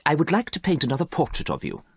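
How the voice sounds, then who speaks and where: Man acting worried gentle painter, storybook character, pleading, earnest, high pitch, very swooping intonation. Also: huge pitch swings, fast talker, husky, no echo, studio quality, heavily acted.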